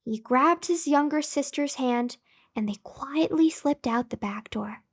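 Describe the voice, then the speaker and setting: A woman storyteller with a high voice reads a children's bedtime tale. She goes very slowly and enunciates with care and expression. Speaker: storyteller and host.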